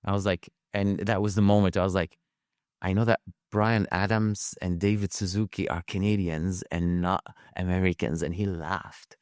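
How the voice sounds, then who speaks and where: Low-voiced man telling his story. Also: steady tone, darkish tone, smooth, dry recording, clean studio sound. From Lebanon. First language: French. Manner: halting, many restarts and fillers, swears casually. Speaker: podcast guest. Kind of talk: casual storytelling.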